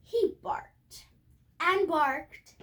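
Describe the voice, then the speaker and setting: A young girl hosting a video, reading a storybook aloud haltingly, repeating and correcting words, with casual asides to viewers. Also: very high voice. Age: child.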